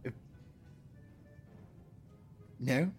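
A man's voice: fairly quick, soft background music, natural voice. A man playing a vain, flirtatious deposed alien prince in an audio drama, with a pompous, theatrical way of talking. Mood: flirtatious, flustered. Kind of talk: audio fiction acting.

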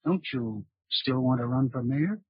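A man with an American accent playing a sly, menacing blackmailer in an old radio drama, husky and sardonic. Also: mid-range voice, animated, a little slow, dry recording. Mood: coolly sardonic. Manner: insinuating, sardonic. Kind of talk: 1940s radio drama acting. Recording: muffled old radio recording.

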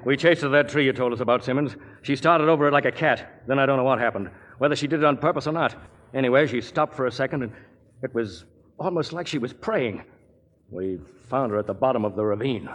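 An actor playing a gruff, matter-of-fact small-town police chief in a 1940s radio drama, blunt and folksy. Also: male voice, medium pitch, fast talker, fairly bright tone, natural voice. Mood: grave, blunt. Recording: muffled, echoing 1940s radio broadcast.

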